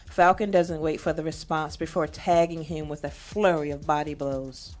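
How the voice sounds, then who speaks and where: A woman's voice: low-pitched, fairly even intonation, moderate pace, a little mellow, soft background music. A woman reading scene directions as the narrator of a scripted audio drama.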